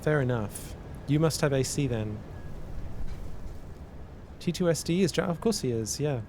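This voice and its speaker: A man streaming casually, answering chat and working through math aloud in a halting way that repeats words. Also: lively intonation. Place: cold indoor room. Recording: noisy with a low rumble.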